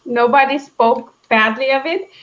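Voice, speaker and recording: A young woman, co-hosting a casual chat, talking quickly with frequent laughs and asides. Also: high-pitched, a bit bright, dull audio. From Romania. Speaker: co-host.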